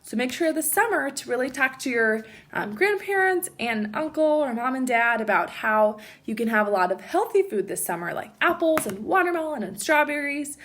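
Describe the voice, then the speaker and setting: A woman teacher reading a children's storybook aloud in an animated, emphatic way and speaking directly to young listeners. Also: on the high side. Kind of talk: expressive children's storybook read-aloud with asides.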